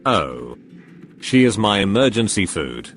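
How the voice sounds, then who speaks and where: A man plays and comments on a game in a low voice. His intonation is very animated, gushing and theatrical in a casual way. Game music runs clearly behind him. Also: unhurried. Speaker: game streamer. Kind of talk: casual playful gameplay commentary.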